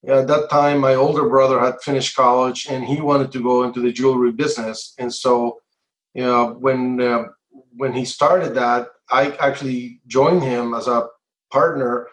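A middle-aged man from Colombia giving measured, halting answers in an interview, pausing often as he explains. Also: medium pitch. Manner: halting, measured, frequent hesitations. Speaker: interview guest, entrepreneur. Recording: echoey, roomy sound.

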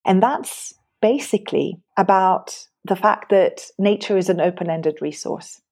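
A tired woman in her forties from Greece, talking through her work as an education researcher in a relaxed, thoughtful way. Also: mid-range voice, a little slow. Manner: thoughtful, many fillers and restarts. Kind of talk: conversational interview.

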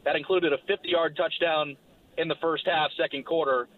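A man, slightly hoarse, giving a brisk recap of a football game as its broadcaster. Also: on the high side, steady tone. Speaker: play-by-play broadcaster. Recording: phone line.

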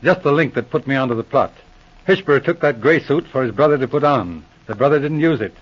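An actor playing a shrewd, self-assured police sergeant in 1940s radio drama style, brisk, clipped and commanding. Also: male voice, medium pitch, lively intonation, close to an everyday voice. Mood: confident. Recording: dull lo-fi vintage radio recording.

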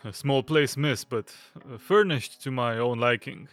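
A man playing a fussy, nervous, hypochondriac young gentleman in an audio drama, stammering and obsequious. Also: medium pitch, measured pace, no echo, soft background music, close to an everyday voice. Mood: fawning, nervous. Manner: stammering, fidgety, obsequious, nervous little laughs.

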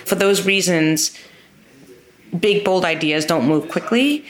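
A woman's voice: lowish voice, slow pace. A woman legislator answering interview questions in an earnest, emphatic way, stacking up lists of repeated phrases. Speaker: state legislator as guest.

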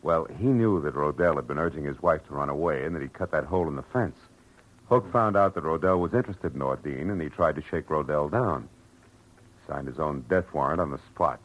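A man's voice: very deep voice, expressive, fairly quick, rough recording. An American actor playing a weary, wry hard-boiled male private detective, terse and slangy in 1940s radio style. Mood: wry, matter-of-fact. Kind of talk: 1940s radio acting with first-person narration. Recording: old radio drama recording with music.

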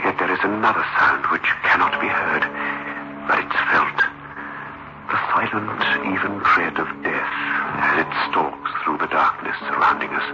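An actor playing a troubled, earnest young engaged man in a 1960s radio drama, with an intense, melodramatic delivery. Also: very deep voice. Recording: muffled old radio recording with music.

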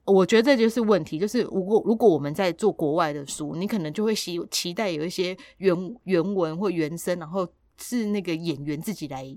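A woman with a Taiwanese Mandarin accent chatting casually as a podcast host, telling stories in an animated, expressive way with repetition for emphasis. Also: lowish voice, a little fast, few pauses, no room sound, studio quality. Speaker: podcast host who works in audiobook production. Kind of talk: casual chat, storytelling.

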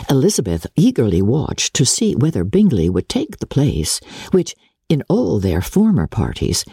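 A woman with a very low, mellow voice reads classic fiction aloud as a dramatic narrator. Her phrasing is formal and theatrical, with sweeping pitch movement. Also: husky, dry recording, clean studio sound. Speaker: audiobook narrator.

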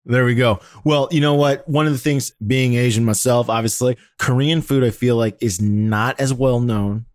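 A man's voice: medium pitch, fairly even intonation, moderate pace, few pauses, dry recording, clean studio sound. A man hosting an interview podcast, talking casually and upbeat, laughing often. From Washington D.C. area. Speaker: podcast host.

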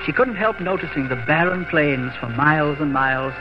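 A man's voice: fairly high pitch, expressive, moderate pace. A man narrating a scripted 1940s radio drama as its host. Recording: muffled old radio, loud music behind.

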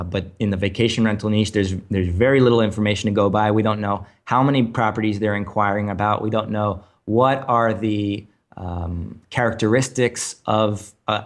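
Male co-host, lowish voice, analytical explainer, frequent ums. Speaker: podcast co-host. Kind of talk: casual chat.

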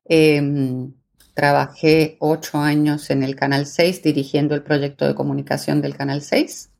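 A woman's voice: deep voice, level tone, measured pace, gravelly, darkish tone. A middle-aged woman, born in Argentina and long settled in Puerto Rico, speaking conversationally as a podcast guest. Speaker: podcast guest, foundation executive director. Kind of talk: conversational interview account.

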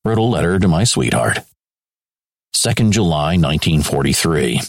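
A wartime serviceman acted by a man reads his diary in clipped, terse phrases. The voice is extremely deep, with heavy fry and a husky grain. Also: sing-song, moderate pace, no echo, studio quality, exaggerated voice. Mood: terse, matter-of-fact. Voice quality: gravelly, husky. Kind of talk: dramatised diary reading.

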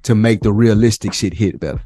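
A man's voice: fairly low pitch, fairly quick, warm tone, slightly rough, no echo. An American man co-hosting a podcast in casual, slangy, profanity-laced conversation. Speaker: podcast co-host. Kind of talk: casual chat.

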